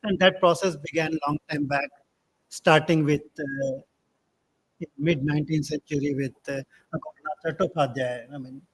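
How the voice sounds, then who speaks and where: A man with an Indian accent and a husky, somewhat high voice answers on a panel. He speaks in an explanatory manner, setting out points. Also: fairly even intonation, normal speed, poor audio.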